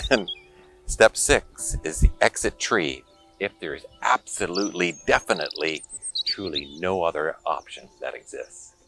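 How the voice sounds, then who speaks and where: A man reading a picture book aloud to children in an expressive, dramatic storytelling manner. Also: sits low, over music. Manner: expressive, dramatic pauses and exclamations.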